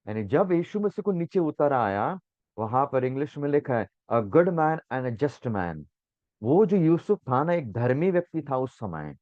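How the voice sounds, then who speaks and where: A man with a hoarse throat teaching a Bible sermon, earnest and repeating phrases for emphasis, now and then slipping in English words. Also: mid-range voice, no room sound. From India. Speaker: preacher. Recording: muffled dry recording.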